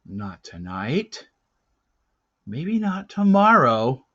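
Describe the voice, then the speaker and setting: A man reading a storybook aloud as a dramatic narrator, with deliberate, theatrical phrasing and a casual touch. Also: mid-range voice, very animated, sharp tone, dull audio. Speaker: storybook reader.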